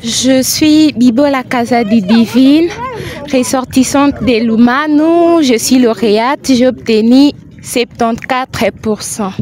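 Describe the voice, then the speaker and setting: Slow-speaking teenage girl, guest. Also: fairly high pitch, darkish tone. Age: late teens. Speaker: top exam student, guest.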